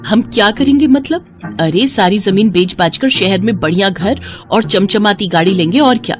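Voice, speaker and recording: A woman's voice: medium pitch, animated, normal speed, music in the background, natural voice. A woman voicing a greedy, scheming sister-in-law in a moral story, pushy and complaining.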